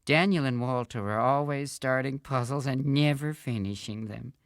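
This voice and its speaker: A man voicing a chatty middle-aged mother at a party in a read-aloud storybook, unhurried and lightly amused. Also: mid-range voice, dry recording, studio quality, pitched above the performer's own voice, close to an everyday voice.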